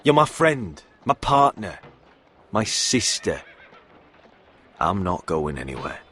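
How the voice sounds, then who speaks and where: A man's voice: sits low, sing-song, takes it slowly, fairly bright tone, breathy edge, full character voice. An actor playing a loyal, roguish young nobleman turned sellsword in a video game, earnest and familiar in manner. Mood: apologetic. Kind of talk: dramatic video game voice acting. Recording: faint background ambience noise.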